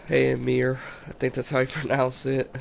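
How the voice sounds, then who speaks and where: A man chatting casually while live-streaming a game, his voice scratchy and congested from a cold, delivered in a flat, monotone way. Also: mid-range voice, creaky. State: stuffy from a cold or allergies. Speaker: gaming streamer.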